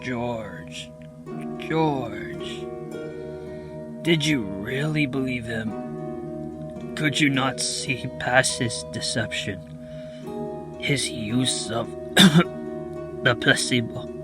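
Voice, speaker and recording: A man acts out a dying father in a radio play, grave and resigned. He speaks extremely slowly and haltingly at an ordinary pitch, breaking off to clear his throat or cough. Loud music sits under his worried, feeble words. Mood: weak, grave. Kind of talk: radio theater acting.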